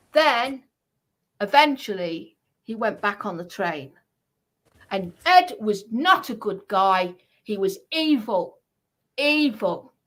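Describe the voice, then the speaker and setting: A woman's voice: fairly high pitch, fairly bright tone. A woman giving a heated personal account on a livestream, speaking in an indignant, emphatic way and repeating herself for stress.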